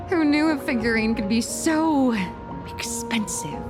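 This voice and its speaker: A cheeky young woman trickster from a video game, her voice on the high side. She is glib and theatrical, playing up every phrase with dramatic flair. Music plays clearly behind her. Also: animated, slow pace. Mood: whiny, defensive.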